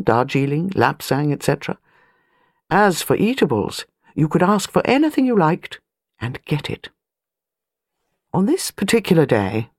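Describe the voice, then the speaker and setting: A woman's voice: low-pitched, a little mellow, no room sound, clean studio sound. A British woman reading a novel as an audiobook narrator, precise and wry. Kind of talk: scripted literary narration.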